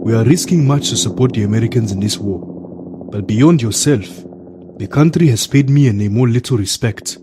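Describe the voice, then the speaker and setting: A man playing a dignified, guarded African warrior king in an audio drama, measured and formal. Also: medium pitch, noisy background, lightly acted. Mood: grave, reproachful.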